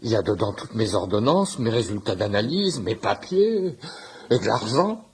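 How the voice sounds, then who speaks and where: An actor playing a chatty, bumbling middle-aged husband and shopkeeper in a radio comedy drama, stammering and insistently overfamiliar. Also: male voice, lowish voice, expressive, normal speed, darkish tone, natural voice. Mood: flustered. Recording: clear background ambience noise.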